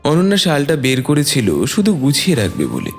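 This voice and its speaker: A man's voice: a little high, wildly swooping pitch, normal speed. A man reading a scripted story as dramatic narration. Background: soft background music.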